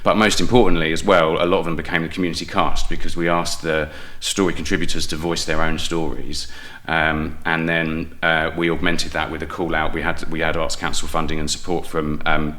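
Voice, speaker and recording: A man with a low voice and a bright, forward edge talks as an interview guest. He explains things articulately and at length, in a conversational, discursive style. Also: little pitch movement, a little fast, few pauses. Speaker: podcast guest, playwright and audio producer. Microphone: podcast microphone with headphones.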